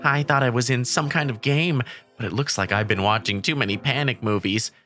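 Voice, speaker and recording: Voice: mid-range voice, fairly quick, fairly bright tone, faint music behind. A narrator telling a first-person romantic comedy manga story in a scripted dub style.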